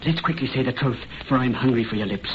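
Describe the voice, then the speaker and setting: An actor playing an ardent, pleading young Irish farmer's son in florid old-time radio melodrama. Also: male voice, mid-range voice, fast, close to an everyday voice. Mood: impassioned, uneasy. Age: young adult. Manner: impassioned, florid. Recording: muffled, noisy vintage radio recording.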